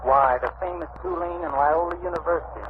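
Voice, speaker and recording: A man's voice: on the high side, fairly quick. A middle-aged man giving a stiff, scripted testimonial as a pharmacist in a vintage radio advert. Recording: tinny, noisy old radio broadcast.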